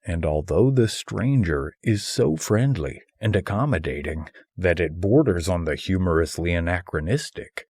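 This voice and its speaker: Low, husky male audiobook narrator. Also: dark tone, no echo, clean studio sound. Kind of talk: scripted audiobook narration of a humorous philosophical essay.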